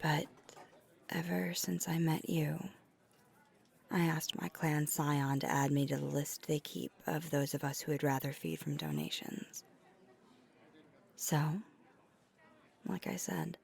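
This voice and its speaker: Woman as a soft, breathy, low-voiced tender vampire. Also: full character voice. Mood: confessional, sheepish. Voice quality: breathy, near-whispered in places. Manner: soft-spoken, often breathy, hesitant and halting. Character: flirty, caring female vampire on a dinner date. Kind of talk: intimate ASMR roleplay audio drama.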